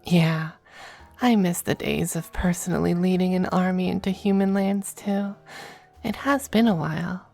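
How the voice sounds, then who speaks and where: A woman voicing a suave, possessive demon femboy in an ASMR roleplay, with genteel, teasing endearments and a breathy voice that dips into whispers. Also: lowish voice, fairly even intonation, moderate pace, darkish tone, soft background music. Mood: languid, teasing. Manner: genteel, languid, commanding endearments.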